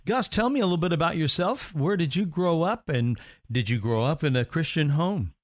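A man's voice: on the high side, animated, normal speed, no echo, clean studio sound. A man hosting a faith-centered interview, earnest and affirming as he asks questions. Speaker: interview host. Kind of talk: casual interview chat.

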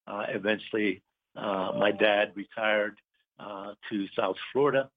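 A middle-aged man from Puerto Rico talks casually as an interview guest. His voice sits low, and he pauses and fills often as he searches for words. The sound is thin and tinny, like a phone call. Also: steady tone. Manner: hesitant, many pauses and fillers. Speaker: guest, business owner.

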